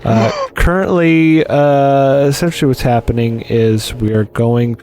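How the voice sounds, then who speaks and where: A man's voice: mid-range voice, unhurried, darkish tone. Rambling male let's play host. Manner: rambling, lots of fillers and restarts. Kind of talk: casual live-stream commentary.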